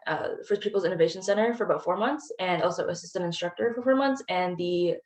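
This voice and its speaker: A woman from northern Ontario introduces herself in a steady, plain-spoken way. Her voice is mid-pitched, and the room around her is echoey. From northern Ontario, Canada. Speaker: program cultural assistant, panelist. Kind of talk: self-introduction on a panel.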